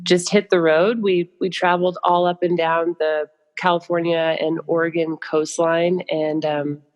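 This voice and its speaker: A woman's voice: fairly low pitch, little pitch movement, unhurried, darkish tone, big-room sound, dull audio. A young adult American woman speaking as a podcast guest, telling stories casually in loose, informal phrases with many asides. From United States. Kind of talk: casual conversational storytelling.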